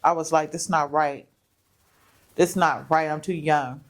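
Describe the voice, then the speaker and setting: A woman's voice: deep voice, fairly even intonation, unhurried. Playful teasing woman podcast host. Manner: playful, teasing, laughs often. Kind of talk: casual chat.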